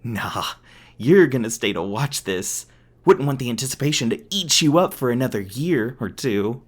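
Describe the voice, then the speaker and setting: A man's voice: medium pitch, expressive, normal speed, husky. A man voicing a gleefully theatrical inhuman villain in an audio roleplay, mocking and menacing with mock-sweet baby talk. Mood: smug taunting.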